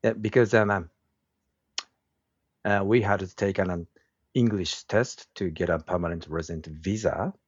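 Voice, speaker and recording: A middle-aged man from Japan, speaking English with a Japanese accent in a relaxed interview. He talks slowly and haltingly and searches for his words. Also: deep voice, no room sound. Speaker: guest, woodworking craftsman and teacher. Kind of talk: casual interview conversation. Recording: dull, dry lo-fi sound.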